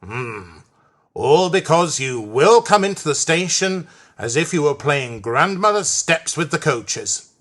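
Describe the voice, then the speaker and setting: Man playing a stern, pompous, husky railway boss. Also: mid-range voice, sing-song, slow, sharp tone, heavily acted. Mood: cross, scolding. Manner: pompous, emphatic, scolding.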